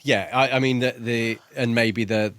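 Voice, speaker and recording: A man co-hosting a podcast, discussing a book casually in a measured, reflective way. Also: mid-range voice, steady tone, fairly bright tone, dry recording. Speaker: podcast co-host.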